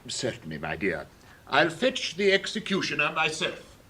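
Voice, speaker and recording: A pompous, fussy king. His voice is bright and forceful but rough-edged, swinging widely in pitch. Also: wildly swooping pitch, moderate pace, full character voice. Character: fussy, pompous storybook king, husband to queen.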